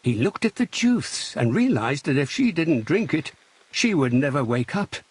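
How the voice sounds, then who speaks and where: A man reading a scripted children's story as an expressive, dramatic narrator. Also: a little high, normal speed, husky, no echo.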